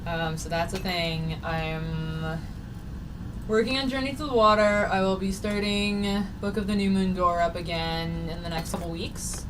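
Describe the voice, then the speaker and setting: A woman's voice: fairly low pitch, fairly even intonation, measured pace. Chatty woman on a distant, noisy mic. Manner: rambling, laughs often, many fillers. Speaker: author hosting a livestream. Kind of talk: casual chat while streaming a game. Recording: distant mic with noticeable noise.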